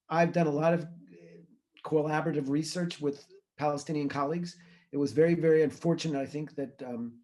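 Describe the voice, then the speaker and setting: A man's voice: a little high, dark tone. A middle-aged man from Israel, a researcher answering interview questions in an earnest, thoughtful way with many fillers and restarts. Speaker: guest speaker, environmental researcher.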